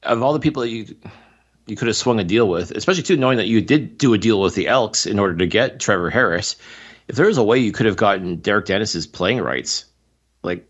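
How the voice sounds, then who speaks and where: A man's voice: fairly low pitch, animated, brisk, muffled recording. A man talks sports as a podcast co-host. He is conversational and opinionated, laying out his views in a casual way.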